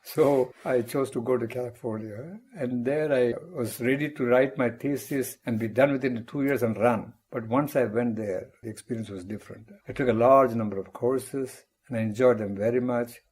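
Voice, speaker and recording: Older man, mellow and husky. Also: medium pitch, fairly even intonation. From Tamil Nadu, India. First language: Tamil. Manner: measured, reflective recounting with wry asides. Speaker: guest professor. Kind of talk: personal storytelling interview.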